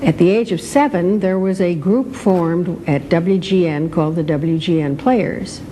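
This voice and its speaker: An older American woman, a former radio actress, reminiscing in a measured, hesitant way. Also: fairly low pitch, dark tone, slight background noise. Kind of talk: reminiscing in an interview.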